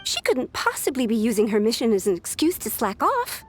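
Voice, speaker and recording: A woman's voice: a little high, wildly swooping pitch, fairly quick, faint music behind. A woman voicing an earnest, anxious young secretary in a video game, with a polite manner. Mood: worried.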